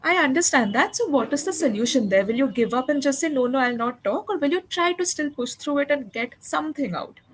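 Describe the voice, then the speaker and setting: High-voiced encouraging woman tutor. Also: lively intonation, fast talker, few pauses. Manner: encouraging, patient, explains grammar step by step. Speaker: English tutor. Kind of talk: one-on-one spoken English lesson.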